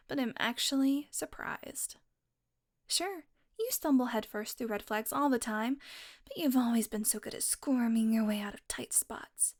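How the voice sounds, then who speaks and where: A woman playing a smug, predatory female vampire in an intimate audio roleplay, taunting with a drawl that dips into breathy murmurs. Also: fairly high pitch. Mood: teasing, gloating.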